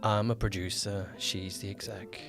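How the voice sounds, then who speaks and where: A man's voice: lowish voice, level tone, normal speed, fairly bright tone, soft background music. A man co-hosting a podcast as its producer, chatting casually and explaining things with frequent backtracking. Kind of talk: casual chat, project update.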